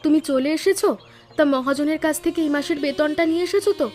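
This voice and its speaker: A woman voices a village wife and mother in a cartoon, high in pitch and fast-talking. She is fretful, nagging and dramatic, with music underneath. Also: a little mellow, natural voice. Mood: scolding. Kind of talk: Bengali cartoon voice acting.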